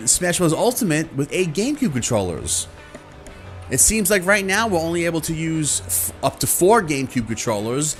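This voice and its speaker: A man's voice: a little high, fairly bright tone. A man hosting a video, explaining things casually and conversationally, thinking aloud and often checking in with the listener. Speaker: video host. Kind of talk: casual explanatory talk to viewers about gaming gear.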